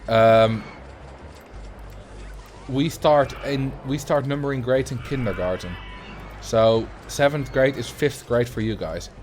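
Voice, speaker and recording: A young man with a Dutch accent chatting casually on a livestream, relaxed and rambling as he answers chat while playing a building game. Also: mid-range voice, takes it slowly, slight background noise. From Netherlands. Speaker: streamer.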